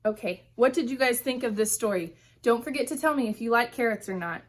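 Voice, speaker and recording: A woman teacher reading a storybook aloud to young children in an animated way, pausing to ask them questions. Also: a little high.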